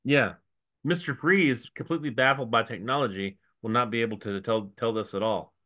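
A man's voice: medium pitch, brisk, pushed and bright, dull audio. A man co-hosting a podcast, jumping in with wisecracks and mock quotes in casual chat. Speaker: podcast co-host.

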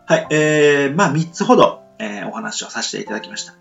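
A man's voice: fairly high pitch, lively intonation, a little fast, creaky. A man hosting a solo podcast, talking to listeners in a reflective, polite and rambling casual monologue. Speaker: solo podcast and radio show host. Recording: muffled lo-fi, soft guitar music behind. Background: plucked guitar music.

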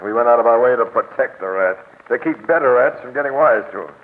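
An actor playing a gruff, businesslike police captain, terse and dogged, in the style of 1940s radio drama. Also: male voice, medium pitch, expressive, quick pace, lightly acted. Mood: rueful, frustrated. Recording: tinny, noisy vintage radio broadcast.

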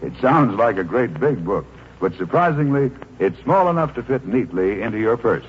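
A man's voice: medium pitch, sing-song, normal speed. A man reading a 1940s radio advertisement with a persuasive sales delivery. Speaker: commercial announcer. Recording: muffled, noisy 1940s radio recording.

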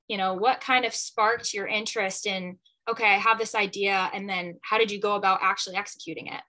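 Bright-voiced young woman hosting a chat. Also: medium pitch, fairly even intonation, a little fast, poor audio. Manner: enthusiastic, chatty, many fillers. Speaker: podcast host, former athlete. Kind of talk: casual interview conversation.

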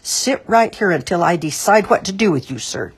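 Woman as a booming, husky male sheriff. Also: lively intonation, brisk, full character voice. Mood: stern. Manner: booming, authoritative. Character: stern, commanding male small-town sheriff.

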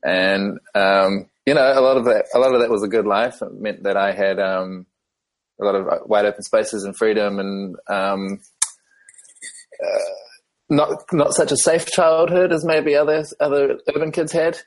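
A man with a New Zealand accent and a lowish voice, talking as a podcast guest. He speaks in a thoughtful, measured way and often pauses on "um" while he finds the next thought. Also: steady tone, poor audio. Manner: thoughtful, measured, with frequent ums. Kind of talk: casual interview conversation.

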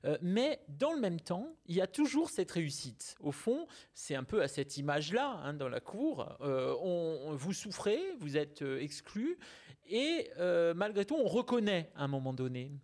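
A man's voice: fairly high pitch, a little mellow. A man interviewing a guest, asking long, probing questions that sum up her story.

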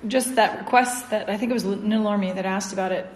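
A woman's voice: mid-range voice, steady tone, fairly quick, roomy sound. A woman asking curious questions during a casual live audio chat. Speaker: guest speaker.

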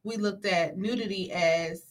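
A woman hosting a talk show in a casual, animated, slangy way, often repeating phrases for emphasis. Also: medium pitch, measured pace. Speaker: show host.

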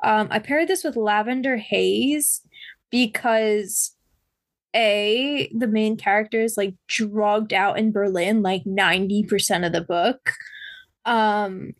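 A hoarse woman co-hosting a podcast, rambling in a casual chat with lots of fillers. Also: medium pitch, slow, no room sound. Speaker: podcast co-host.

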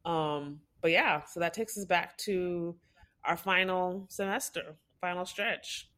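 A woman's voice: on the low side, steady tone, slow, a bit bright. Chatty young woman telling a story. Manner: chatty, storytelling with many fillers. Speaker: podcast co-host. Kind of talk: casual chat.

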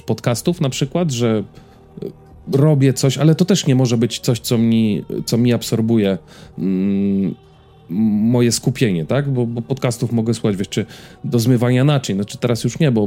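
Mellow-voiced man in casual, rambling chat. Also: mid-range voice. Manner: rambling, hesitant, repeating words as he goes. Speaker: podcast host. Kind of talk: casual chat about personal habits and series.

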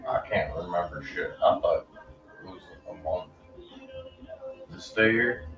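A man's voice: deep voice, measured pace, muffled recording. A drunk man dropping in on the chat, loose and rambling. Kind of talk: casual chat. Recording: echoey room with music behind.

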